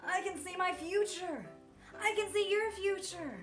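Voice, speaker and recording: A woman voicing a pretentious, affected whiskey connoisseur who sighs dramatically and speaks slowly. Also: extremely high pitch, a bit bright, reverberant, faint music behind, voice pushed up. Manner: slow, breathy, over-sighing delivery.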